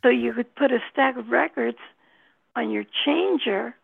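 A woman chatting casually in a gravelly, husky voice. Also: on the high side, unhurried, dry recording. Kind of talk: casual chat. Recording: thin phone-like line.

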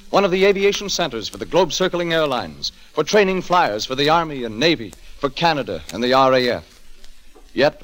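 A man's voice: a little high, a bit bright, rough recording. An American man narrating a 1940s radio drama as its host, in a dramatic, declamatory scripted style. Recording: old 1940s radio broadcast.

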